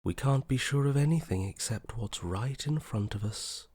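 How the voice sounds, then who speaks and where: An actor playing a detached, morbidly curious inner voice in a video game, with a languid, blasé delivery that softly trails off. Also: male voice, medium pitch, lively intonation, moderate pace, breathy edge, no echo. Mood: morbid curiosity. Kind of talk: video game character voice acting.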